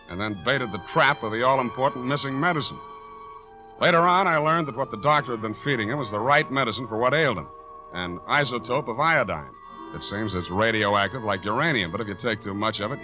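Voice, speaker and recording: An American actor playing a wry, hard-boiled private detective in a 1940s radio drama, with a glib, teasing manner. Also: male voice, on the low side, lightly acted. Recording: muffled 1940s radio broadcast, music behind.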